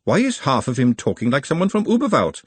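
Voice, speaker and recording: A man's voice: medium pitch, very animated, quick pace, breathy edge, no room sound, voice pushed up. A man voicing a protective young woman in an audiobook, blunt, wary and anxious. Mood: sharp, suspicious.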